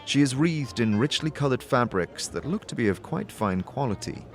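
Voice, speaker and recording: A man's voice: medium pitch, very animated, moderate pace, vocal fry, faint music behind. A man giving scripted second-person narration for a fantasy audio drama.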